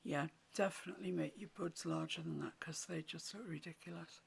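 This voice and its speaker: A woman with a croaky voice, teaching a watercolour painting tutorial in soft, often half-whispered instructions. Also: low-pitched, fairly even intonation, brisk. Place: home room with a mantelpiece.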